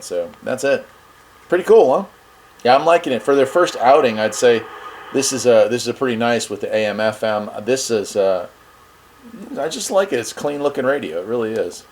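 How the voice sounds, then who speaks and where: Male host for a casual hands-on review, slightly deep, off-the-cuff and folksy, noisy recording. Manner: informal, folksy, thinking aloud while operating a device. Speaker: host of a radio review channel. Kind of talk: casual product demonstration. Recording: clear steady background noise.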